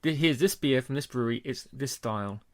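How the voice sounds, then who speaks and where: A man co-hosting a casual podcast, chatting in a relaxed, talkative way and laughing easily. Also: mid-range voice, a little fast, no echo. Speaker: podcast co-host. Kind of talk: casual chat.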